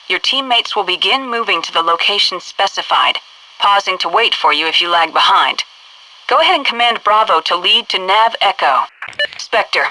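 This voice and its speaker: An American woman playing a brisk military headquarters commander in a video game, giving crisp, authoritative mission instructions. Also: medium pitch, pushed and bright, no echo, poor audio. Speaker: mission control radio dispatcher and tutorial guide.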